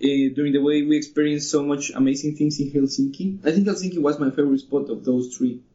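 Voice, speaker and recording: Man, eager, slightly high voice. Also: steady tone, warm tone. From Mexico. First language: Spanish. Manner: enthusiastic, halting non-native phrasing. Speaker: guest. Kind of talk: casual interview chat. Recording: dull lo-fi recording.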